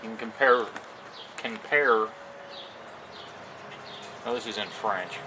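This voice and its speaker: A man giving a casual, unscripted product demo outdoors, talking in a plain-spoken, halting way. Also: fairly low pitch. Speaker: amateur product reviewer. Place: outdoors on a porch. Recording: noisy outdoor recording with ambient sounds.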